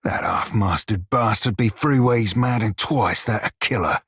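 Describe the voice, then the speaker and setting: An actor voicing a short, crude, wry pirate shiphunter for a video game, with a husky, rough-edged voice and a pirate dialect full of salty sailor slang. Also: male voice, lowish voice, a little slow, fairly bright tone, no echo, studio quality, exaggerated voice. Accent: pirate-style dialect. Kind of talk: video game character dialogue and barks.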